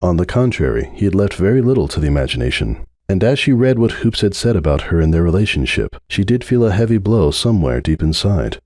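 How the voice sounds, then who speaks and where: A man's voice: sits low, big pitch swings, fast, hardly any pauses, a little mellow, dry recording. A man reading a story aloud as a narrator in a dramatic audiobook style, close on the mic.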